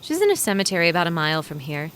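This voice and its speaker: A woman's voice: fairly low pitch, wildly swooping pitch, rapid-fire, a bit bright, a little hiss or hum. A woman voicing a theatrical, haughty middle-aged female fortune teller with a dry, sarcastic manner and a vaguely foreign accent. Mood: knowing.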